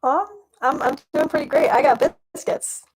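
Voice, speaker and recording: A woman's voice: sits high, normal speed. A young woman answers interview questions in a loose, casual way. She hesitates and rambles, dropping in playful remarks between ums. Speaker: interview guest, roleplay player.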